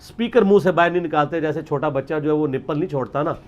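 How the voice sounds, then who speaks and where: Higher-pitched Pakistani man, argumentative religious lecturer. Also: quick pace, darkish tone, lo-fi sound, a little hiss or hum. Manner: argumentative, explanatory, mixes in English words. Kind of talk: informal religious lecture, extempore.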